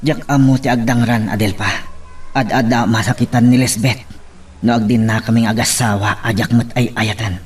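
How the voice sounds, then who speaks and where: A man's voice: medium pitch, steady tone, moderate pace, breathy edge, close to an everyday voice. A man playing a troubled, resigned lover in an Ilocano audio drama. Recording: slightly distant from the mic.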